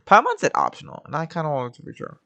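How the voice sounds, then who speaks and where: Low-voiced woman streamer, very animated. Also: fast talker, gravelly, lo-fi sound. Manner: informal, sweary, reacts aloud. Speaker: game streamer. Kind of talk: casual reaction commentary while playing. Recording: game music behind the voice.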